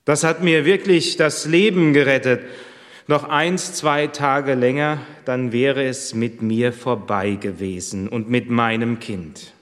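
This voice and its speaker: Distressed pregnant servant woman as a preacher puts her on, heartfelt first-person telling, unhurried, long church echo. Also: mid-range voice, a bit bright. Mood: aggrieved. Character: pregnant young female servant, desperate.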